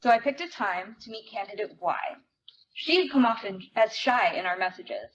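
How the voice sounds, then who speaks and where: A woman's voice: mid-range voice, animated, normal speed, fairly bright tone. A woman presenting and reading aloud at a live indoor event. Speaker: presenter and reader at library event. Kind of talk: live dramatic reading of memoir. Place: indoor event before an audience. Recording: dull live recording, noticeable room noise.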